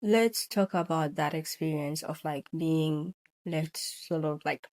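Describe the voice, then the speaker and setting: Lady host chatting away, lowish voice, easygoing. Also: takes it slowly. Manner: chatty, warm welcomes to viewers. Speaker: podcast host. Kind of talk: casual chat.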